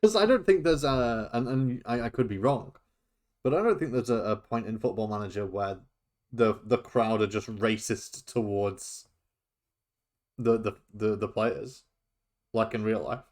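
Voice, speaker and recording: A man's voice: fairly low pitch, unhurried, darkish tone. A young man hosting a casual podcast, chatty, laughing often and tripping over his words. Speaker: podcast host. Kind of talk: casual chat.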